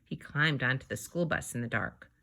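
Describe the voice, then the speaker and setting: A woman reading a children's story aloud, narrating expressively in deliberate, measured phrases. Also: a bass voice, lively intonation, creaky, sharp tone. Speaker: storybook reader.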